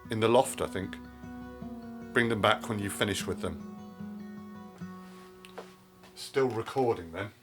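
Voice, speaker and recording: Actor doing stern hurt dad, middle-aged man, low voice, audio drama, music under it. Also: measured pace, pauses often, natural voice. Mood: curt. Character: stern, wounded widowed father of a grown daughter.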